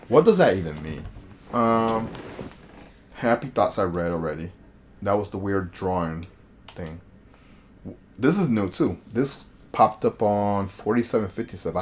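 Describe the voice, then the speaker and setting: A man livestreaming a game, chatting casually in slangy, animated commentary and reading on-screen text aloud. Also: on the low side, moderate pace, a little hiss or hum.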